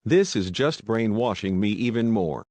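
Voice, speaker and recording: A man's voice: fairly low pitch, lively intonation, normal speed, darkish tone, no room sound. A man playing an exasperated, foul-mouthed complainer in an amateur comic skit. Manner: sweary, complaining.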